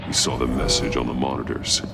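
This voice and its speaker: An actor playing a grim, stoic masked vigilante detective in a video game, speaking in a terse, deliberate growl. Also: male voice, little pitch movement, over loud music. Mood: analytical, grim. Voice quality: deep gravelly growl. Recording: heavy ambient noise behind voice.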